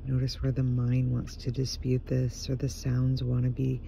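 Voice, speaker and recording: A man leading a guided meditation in a gentle, hushed voice, leaving unhurried pauses between short phrases. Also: mid-range voice, completely flat delivery, vocal fry. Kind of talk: guided meditation, slow soothing narration. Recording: muffled, dull home recording with faint noise.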